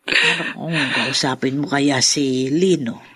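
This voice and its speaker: An actress with a Filipino accent playing a caring, fussy older friend in a radio drama, expressive and concerned. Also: female voice, very deep voice, unhurried, husky, no room sound, full character voice.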